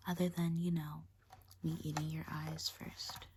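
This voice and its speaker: A woman playing a menacing spider demon in an ASMR roleplay, whispering breathily in a rambling, deadpan, casually cruel way close to the mic. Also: deep voice, a little slow. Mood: chatty, menacing. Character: menacing female spider demon toying with her human prey. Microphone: close ASMR microphone. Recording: hissy close recording with clicks.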